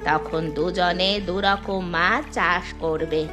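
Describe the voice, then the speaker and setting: A woman's voice: fairly low pitch, steady tone, takes it slowly. A woman voicing a scheming village wife in a cartoon, bossy and impatient with her husband. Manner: impatient, scolding. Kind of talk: dubbed cartoon dialogue. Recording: music in the background.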